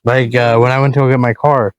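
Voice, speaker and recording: A man's voice: medium pitch, level tone, quick pace, clean tone, no echo. A man from California with a slight Southern drawl, co-hosting a podcast and telling rambling, profane stories in casual chat. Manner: laid-back, profane, rambling storyteller. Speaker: podcast co-host.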